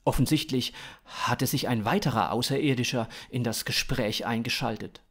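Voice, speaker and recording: A man's voice: mid-range voice, moderate pace, a bit bright. A man in his thirties reading a scripted comic science fiction story as narrator. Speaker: author-narrator of a podcast story.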